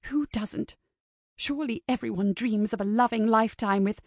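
A woman's voice: fairly high pitch, normal speed, a little mellow, dry recording, studio quality. A woman voicing an earnest, stubborn romantic heroine from a novel, heartfelt and spirited. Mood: indignant.

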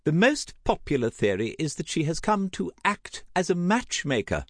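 A man voicing a lively older aristocratic woman who gossips, in a gushing, theatrical and emphatic manner for an audiobook. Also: fairly high pitch, normal speed, no room sound, clean studio sound, voice pushed up. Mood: teasing, knowing. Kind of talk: audiobook character acting.

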